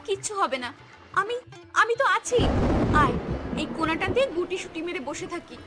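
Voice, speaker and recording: Woman as soft, weary village mother. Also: extremely high pitch, very animated, moderate pace, loud music behind the voice. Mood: soothing, worried. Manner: soft, soothing. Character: gentle, weary, self-sacrificing poor village mother. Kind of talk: cartoon voice acting.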